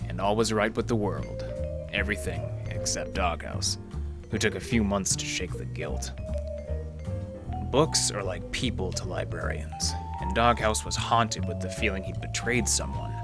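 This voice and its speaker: A man's voice: low voice, natural voice. A man playing a wry, laconic, folksy hard-boiled detective in a noir radio drama. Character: wry hard-boiled male library detective, easygoing fixer.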